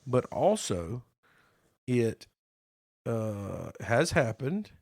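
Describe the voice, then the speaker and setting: A man co-hosting a casual podcast, playful and teasing, his voice a bit hoarse from being sick. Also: mid-range voice, big pitch swings, darkish tone, dry recording, clean studio sound. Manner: playful, teasing, drawn-out reading aloud. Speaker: podcast co-host. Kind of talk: casual chat.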